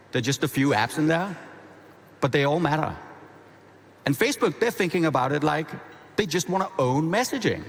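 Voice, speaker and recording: A man with a Danish accent giving a conversational, energetic conference keynote, often restarting his phrases. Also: medium pitch. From half Danish. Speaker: keynote speaker. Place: large conference hall. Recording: reverberant hall.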